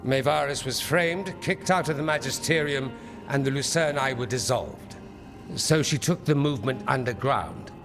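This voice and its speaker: An actor playing a polished, articulate politician turned rebel in a video game, with a wry manner. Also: male voice, mid-range voice, normal speed, close to an everyday voice. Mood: wry, resigned. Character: urbane male politician turned underground rebel, wry and idealistic. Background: music.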